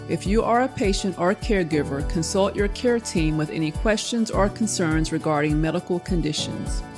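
A woman hosts a medical education podcast, reading her lines in a measured, formal way. Her voice sits a little low. Faint music plays under her words. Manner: measured, formal, read from a script. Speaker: podcast host, medical science liaison. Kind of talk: scripted podcast introduction and interview questions.

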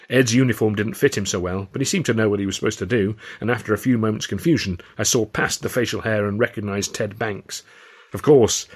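A man reading his own novel aloud as a scripted, first-person audiobook narration. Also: on the low side.

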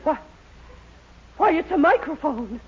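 A man's voice: very high-pitched, lively intonation, measured pace. A performer, a man, playing a bossy, short-tempered older mother-in-law in a 1940s radio comedy, scolding and blustery. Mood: outraged. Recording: muffled vintage radio recording, light hiss.

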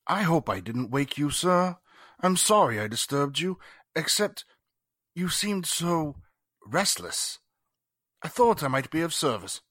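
A deferential manservant, played by a man in radio-drama style, formal and solicitous. The voice is fairly high and husky, slow-paced, with lively rises and falls. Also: dry recording, studio quality, heavily acted. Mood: anxious, deferential. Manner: formal, hesitant, polite. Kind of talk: old-time radio drama acting.

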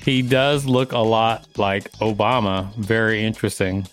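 A man's voice: on the low side, fairly even intonation, a little slow, faint music behind. A man co-hosting a podcast, reading an article aloud and commenting casually. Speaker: podcast co-host.

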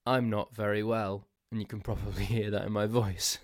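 A man's voice: lowish voice, little pitch movement, moderate pace, loud music behind the voice. A man hosting a solo podcast, stuffy and losing his voice, rambling and self-mocking with frequent laughs. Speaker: podcast host.

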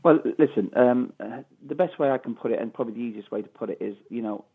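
A man's voice: medium pitch, fast, creaky, husky. A middle-aged man with a Mancunian accent, a former footballer answering interview questions in an emphatic way that repeats phrases for stress, heard down a phone line. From England, Manchester. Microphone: phone call.

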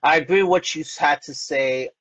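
A man's voice: fairly high pitch, moderate pace, fairly bright tone. A man hosting a casual interview, speaking informally in hesitant, conversational phrases. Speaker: show host and community platform founder. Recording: slightly dull lo-fi audio.

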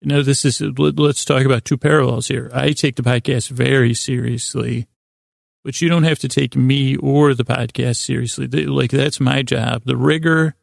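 A man hosting a bedtime podcast in a creaky, gravelly voice, rambling and halting, repeating himself and wandering into absurd asides. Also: medium pitch, fairly even intonation, no room sound, studio quality. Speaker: podcast host. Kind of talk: rambling bedtime monologue to help listeners sleep.

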